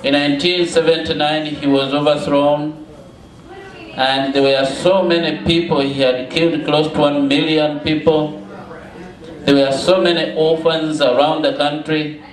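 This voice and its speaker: A mature man with a Ugandan accent tells a story to listeners in an echoey room. His voice sits a little high for a man. He speaks slowly and deliberately, repeating phrases for emphasis. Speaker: guest speaker, founder of children's schools. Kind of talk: public storytelling address. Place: indoor cafe gallery open mike.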